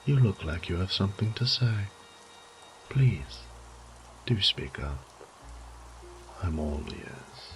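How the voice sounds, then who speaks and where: A man playing a sadistic, condescending vampire lord in a roleplay audio drama, theatrical and taunting, with breathy whispered phrases. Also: low-pitched, expressive, takes it slowly, long pauses, soft background music. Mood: mocking, menacing.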